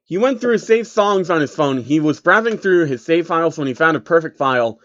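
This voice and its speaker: A young man reads a fanfic aloud, casual and profane, stopping to correct his own stumbles. His voice sits a little high, and the home recording sounds dull and lo-fi. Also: lively intonation, a little fast, fairly bright tone. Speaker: narrator reading fan fiction. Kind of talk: comedic story reading with asides. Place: home room.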